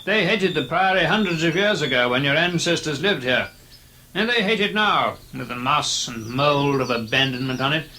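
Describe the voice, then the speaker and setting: High-voiced, donnish antiquarian captain. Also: male voice, moderate pace, bright, forceful tone. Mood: hesitant, uneasy. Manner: hesitant, musing, donnish asides. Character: amiable, learned antiquarian captain, eager guide with uneasy dread. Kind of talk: old-time radio horror drama dialogue.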